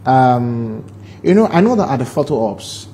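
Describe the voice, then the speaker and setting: A man's voice: medium pitch, big pitch swings, normal speed, dark tone, slight background noise. A man with a Nigerian accent hosting a conversational interview. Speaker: interviewer, TV host.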